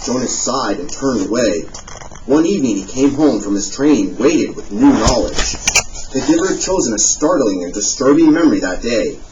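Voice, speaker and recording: A man narrating a novel aloud, giving the dialogue expressive readings. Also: mid-range voice, normal speed. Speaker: audiobook narrator. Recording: distant, dull sound with faint hiss.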